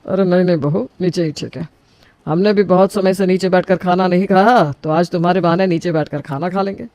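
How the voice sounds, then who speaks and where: A man playing a high-voiced, genial old father-in-law. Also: fairly even intonation, a little fast, darkish tone, dry recording. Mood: reassuring. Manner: soothing, fatherly reassurance.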